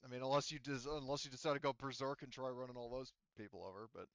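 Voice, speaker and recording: Halting man running a tabletop game, very clear voice. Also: mid-range voice, steady tone, no room sound, lo-fi sound. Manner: halting, conversational, improvised narration. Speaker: game master. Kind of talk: narrating a tabletop roleplaying game.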